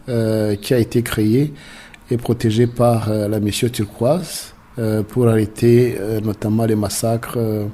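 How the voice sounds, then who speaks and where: Halting older Rwandan man, mellow voice. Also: medium pitch. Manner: halting, many filler pauses. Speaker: former deputy prefect giving testimony. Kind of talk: recorded testimony.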